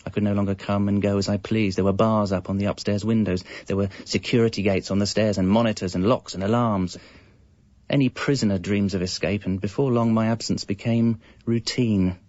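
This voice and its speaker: Man acting husband narrator, low pitch, deadpan irony, confessional, scripted radio comedy, dull dry recording. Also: a little fast, dry recording, lightly acted. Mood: wry. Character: wry husband and young father narrating his evasive life. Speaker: narrator and lead character. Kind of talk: scripted comic radio monologue. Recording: muffled, band-limited audio.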